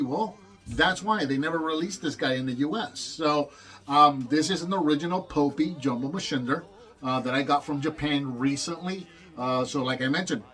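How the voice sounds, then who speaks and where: A man's voice: fairly high pitch, a bit bright. A man in his forties from Puerto Rico, hosting a toy review in an enthusiastic, rambling way. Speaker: toy review channel host.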